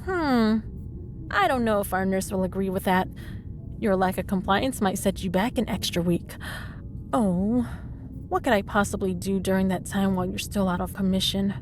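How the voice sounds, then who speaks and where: Smug, flirty villainess over noisy rumble played by a woman. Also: lightly acted. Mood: mocking. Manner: teasing, mock-sweet, drawn-out smug delivery. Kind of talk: comedic audio roleplay banter.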